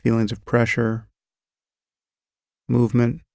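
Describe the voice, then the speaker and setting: A man calmly guiding a meditation, giving slow, gentle instructions about breath and sensation. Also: medium pitch, vocal fry, dry recording, clean studio sound. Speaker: meditation guide. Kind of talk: guided meditation.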